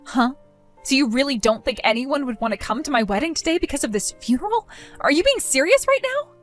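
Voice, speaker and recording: A woman's voice: high voice, lively intonation, quick pace, faint music behind. A woman playing a self-centered young bride who whines and pleads with her sister, in a scripted drama. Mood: puzzled.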